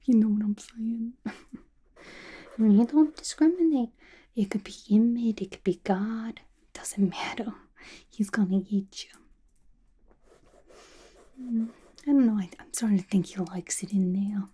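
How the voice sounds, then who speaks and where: A woman voicing a playful, gossipy villain locked in an asylum, in a breathy, chatty, confiding ASMR roleplay. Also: medium pitch, a little slow, long pauses, warm tone. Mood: teasing, conspiratorial. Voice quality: breathy, whispery. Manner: chatty, confiding, casual slangy talk.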